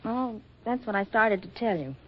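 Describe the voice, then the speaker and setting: A woman's voice: on the high side, lively intonation, moderate pace. An actress playing a sly, wisecracking young woman photographer in a 1940s radio drama, with an arch, breezy, slangy delivery. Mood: wry, reflective. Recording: muffled vintage radio recording, loud music.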